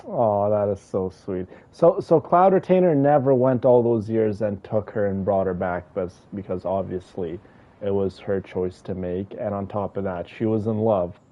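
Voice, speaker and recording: A man's voice: fairly low pitch, moderate pace, lo-fi sound. A man giving casual, rambling, sentimental commentary as a game streamer.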